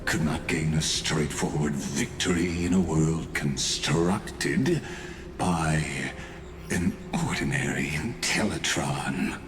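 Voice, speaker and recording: Very deep, slow villainous genius. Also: male voice, fairly bright tone, exaggerated voice. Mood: condescending. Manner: slow, theatrical, deliberate, pompous monologuing. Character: ancient male genius villain, grave, condescending, coldly philosophical. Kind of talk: dramatic scripted video game voice acting. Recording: echoing voice over background music.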